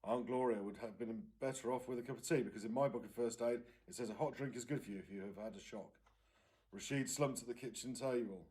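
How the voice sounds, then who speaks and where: A man's voice: medium pitch, quick pace, a little mellow. A man with a British accent, a primary school teacher, reading a children's story aloud expressively.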